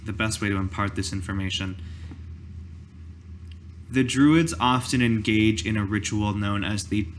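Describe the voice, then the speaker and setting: A man voicing a formal druid master in a tabletop game, speaking in deliberate, professorial phrases. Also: on the low side, a little hiss or hum, close to an everyday voice. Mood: formal, instructive. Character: formal, measured male druid master giving lessons.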